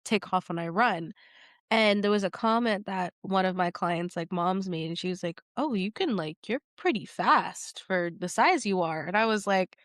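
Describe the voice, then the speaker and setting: A woman's voice: mid-range voice, moderate pace, no echo, studio quality. A woman getting over a cold, sounding nasal, chatting as a podcast guest and rambling with lots of fillers. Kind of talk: casual conversation.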